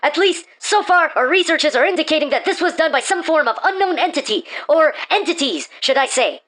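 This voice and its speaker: A woman's voice: sits high, expressive, a little fast, fairly bright tone. A woman playing a young-sounding male reporter and crewman in an amateur science fiction radio play. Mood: earnest.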